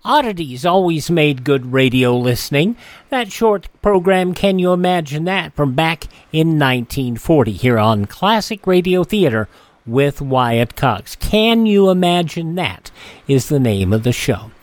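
Male radio host, animated friendly patter, dry studio read. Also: fairly high pitch, a little slow, no room sound. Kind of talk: radio show outro.

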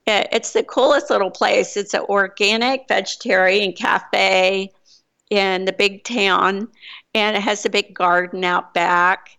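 A woman's voice: medium pitch, steady tone, measured pace, vocal fry, fairly bright tone, smooth. A woman with a Texas accent, an American living abroad, chatting casually in a rambling way with fillers and restarts. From United States. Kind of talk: casual chat.

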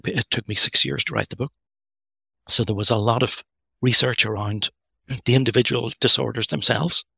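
A middle-aged man talks through his ideas in a thoughtful, halting way, often restarting his sentences. His voice is very gravelly and a little husky, with a bright, forceful edge. The recording is close and dry. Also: mid-range voice, no echo. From Northern Ireland. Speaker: guest author. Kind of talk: podcast interview.

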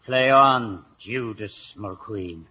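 An actor playing a blustering, gruff Irish police sergeant and father in a 1950s radio play. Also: lowish voice, slow, bright, forceful tone, exaggerated voice. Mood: stern, suspicious. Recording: muffled old radio broadcast.

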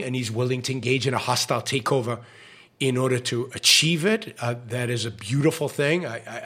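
A man's voice: mid-range voice, fairly bright tone, breathy edge. A man hosting a live-stream show, giving opinionated commentary with many fillers and restarts, his voice starting to go. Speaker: show host.

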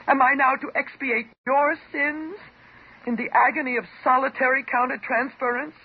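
An American actress playing an intense, unstable psychotherapy patient, theatrical and emotionally volatile, in a 1950s radio drama. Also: female voice, sits high, steady tone, normal speed, breathy edge. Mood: bitter, taunting. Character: intense, unstable woman psychotherapy patient in love with her doctor. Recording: dull, hissy 1950s radio recording.

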